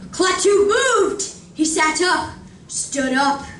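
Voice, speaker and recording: In a roomy space, some way from the microphone, a woman narrates a live radio drama. Her high voice moves with animated intonation as she reads slowly and theatrically. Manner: deliberate, theatrical. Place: library community room, live performance. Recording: roomy distant recording, faint noise.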